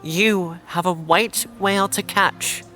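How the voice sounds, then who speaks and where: Slow-spoken, perceptive confidante. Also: female voice, fairly low pitch, steady tone, bright, forceful tone, soft background music. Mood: firm, knowing. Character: perceptive, steady woman confidante, firmly encouraging. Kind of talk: audio drama acting.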